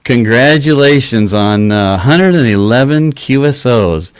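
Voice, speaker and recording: A man's voice: medium pitch, expressive, measured pace. A man hosting a livestream and chatting casually as he interviews a guest. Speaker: livestream host. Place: live video stream.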